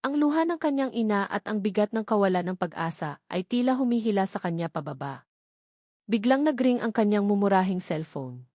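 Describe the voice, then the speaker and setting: A woman's voice: mid-range voice, lively intonation, brisk, warm tone, no room sound, clean studio sound. A woman reading scripted, dramatic narration of a love story.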